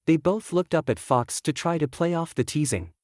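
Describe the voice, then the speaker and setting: Higher-pitched man narrating a fantasy audiobook. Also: animated, moderate pace, a little mellow, no room sound, clean studio sound. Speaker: author narrating own audiobook. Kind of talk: scripted first-person story narration.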